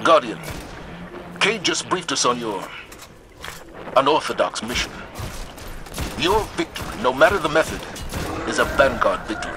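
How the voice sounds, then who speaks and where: Stern, commanding military leader. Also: male voice, medium pitch, huge pitch swings. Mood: stern, formal. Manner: authoritative, formal, measured. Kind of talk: video game mission dialogue. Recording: game music and effects behind.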